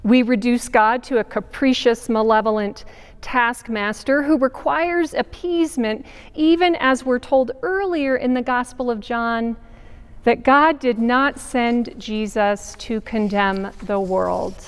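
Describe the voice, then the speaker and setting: A woman pastor in her forties gives a sermon in a big sanctuary, her voice smooth and a little high. She speaks slowly and deliberately, leaning on pauses for weight. The sound carries a long church reverb. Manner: measured, deliberate preaching with emphatic pauses. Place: large church sanctuary with pipe organ. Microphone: camera at a distance, wide sanctuary shot.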